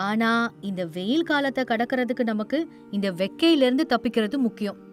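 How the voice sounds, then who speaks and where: A level-headed village wife from an animated storybook who argues her case plainly and patiently, with a voice set a little high, as a woman acts her out. She sounds earnest and practical rather than showy. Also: fairly quick, soft background music, close to an everyday voice. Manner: earnest, persuasive, plain-spoken. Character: sensible thrifty village wife, firm and practical. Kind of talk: storybook voice acting.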